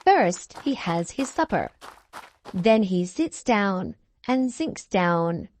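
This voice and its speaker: A woman reading a children's storybook aloud, deliberately, with drawn-out emphasis on key words. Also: medium pitch, sing-song, darkish tone. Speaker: storybook reader. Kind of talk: expressive children's read-aloud narration.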